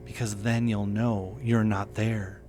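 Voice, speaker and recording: A man reading the part of a calm, cryptic male caller in a horror audio story. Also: fairly low pitch, slow pace, music in the background. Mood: calm, ominous.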